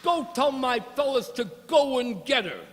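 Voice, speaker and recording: Man acting a blustering high-pitched mobster boss. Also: sing-song, moderate pace, a bit bright, full character voice. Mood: boastful. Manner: bossy, bragging, slangy. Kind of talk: gangster radio play acting. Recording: hall with long reverb.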